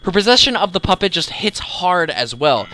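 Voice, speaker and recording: A man's voice: sits high, very animated, normal speed, bright, forceful tone, a little hiss or hum, low-quality recording. A man hosting a video essay, narrating a scripted countdown with enthusiasm and emphatic, dramatic delivery. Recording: voice-over with background music. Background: music.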